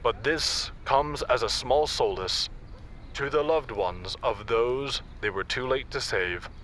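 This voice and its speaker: Male news reporter, acted, grave, crisp forceful tone, scripted field report, faint city traffic behind, audio drama. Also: medium pitch, slow pace.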